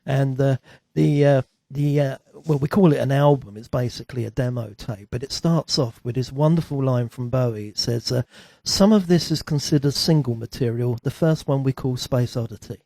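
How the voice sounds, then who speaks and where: A man's voice: medium pitch, normal speed, gravelly, few pauses, mellow, dry recording. A man speaking as a guest in a radio studio, explaining things casually and a little hesitantly.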